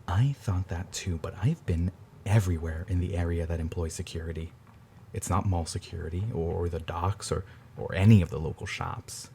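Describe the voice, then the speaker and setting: A man playing a grieving older brother who speaks quietly and haltingly. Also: low voice, fairly even intonation, gravelly, a little hiss or hum. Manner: halting, quiet, restarts under strain.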